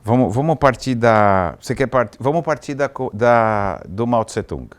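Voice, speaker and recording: A man with a Brazilian accent hosting a casual interview, haltingly rephrasing his points and seeking confirmation. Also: medium pitch, animated, clean tone. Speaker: interview host.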